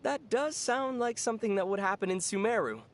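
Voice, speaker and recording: An actor playing a calm, knowledgeable young traveler in an anime video game, measured and matter-of-fact with gentle teasing. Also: mid-range voice, close to an everyday voice. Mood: calm, wry.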